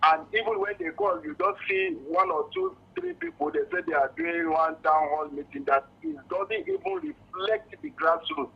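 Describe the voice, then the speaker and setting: A muffled phone-in caller, a man with a Nigerian accent and a high, gravelly voice. He speaks off the cuff, insistent and halting, circling back over his own words. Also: huge pitch swings. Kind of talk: spontaneous opinion on a call-in show. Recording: muffled, lo-fi phone call to radio.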